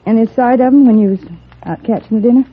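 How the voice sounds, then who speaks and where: A woman's voice: fairly high pitch, fast talker, slightly rough. An American actress playing a tough, proud young frontier woman in a 1950s radio western, with blunt, folksy speech. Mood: wary, curious. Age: young adult. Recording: narrow-band, muffled 1950s radio recording.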